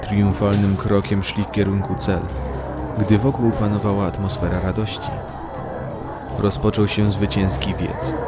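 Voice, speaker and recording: A man delivering scripted, dramatic narration as the storyteller of a fantasy audio drama. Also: on the low side.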